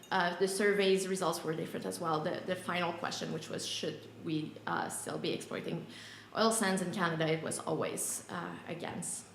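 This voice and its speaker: A woman with a French accent, presenting her project with a lower, softer voice and frequent hesitations. She speaks into a microphone in a roomy event space with a faint hum of ambience behind her. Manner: hesitant, many fillers. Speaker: panel presenter. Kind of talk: conference panel presentation. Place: large event room.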